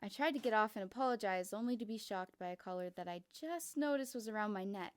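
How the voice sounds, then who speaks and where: A woman reading a first-person fan fiction story aloud, in a quick, lively narration. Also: medium pitch.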